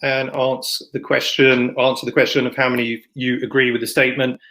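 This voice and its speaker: A man hosting an online event in a casual, unscripted way, with halting, informal delivery. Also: medium pitch, little pitch movement, a bit bright. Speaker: event host and moderator. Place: online video session. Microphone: computer microphone, plugging in another mic partway.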